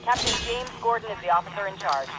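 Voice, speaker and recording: An actor playing an urgent police dispatcher in a video game, clipped and procedural. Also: male voice, high-pitched, expressive, fast talker, heavy background noise.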